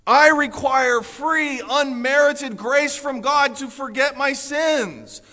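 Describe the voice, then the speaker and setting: A man's voice: very high-pitched, takes it slowly. An American man preaching a sermon as a pastor, earnest and emphatic in delivery. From Orlando, Florida, United States. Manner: earnest, emphatic, swinging from forceful declarations to quieter storytelling. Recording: reverberant large hall.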